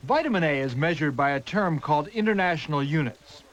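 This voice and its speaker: Male news correspondent, high-pitched animated read, muffled archived TV sound. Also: brisk. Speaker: television health correspondent. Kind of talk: scripted news report narration.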